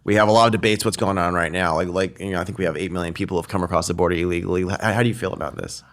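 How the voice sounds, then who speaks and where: A man hosting a podcast interview, asking eager questions with many quick restarts. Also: low-pitched, steady tone, fairly bright tone. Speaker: podcast host. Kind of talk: casual interview.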